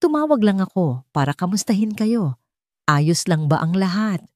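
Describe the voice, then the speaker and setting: Calm, protective man, voiced by a woman narrator. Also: medium pitch, moderate pace, dry recording, studio quality, natural voice. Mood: calm, concerned. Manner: calm with a trace of worry, serious. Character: calm, protective, concerned male benefactor. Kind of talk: dramatic audiobook dialogue.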